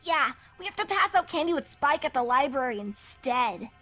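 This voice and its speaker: A woman voicing a small, earnest, uneasy young filly pony with a childlike squeaky voice in a story reading. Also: very animated, moderate pace, pushed and bright, exaggerated voice. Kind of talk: dramatic fan fiction reading.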